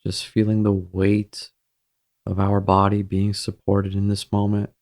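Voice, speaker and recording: A man with a low, noticeably creaky voice guides a meditation. His delivery is gentle and measured, leaving pauses between short phrases. The intonation stays fairly even throughout, giving it a soothing, steady feel.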